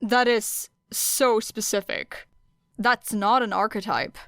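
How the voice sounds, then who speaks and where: A woman voicing a grumpy, strict male teacher with a dry, curt, deadpan manner in an audiobook. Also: on the high side, takes it slowly, no room sound. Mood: deadpan sparring. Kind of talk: acted audiobook dialogue.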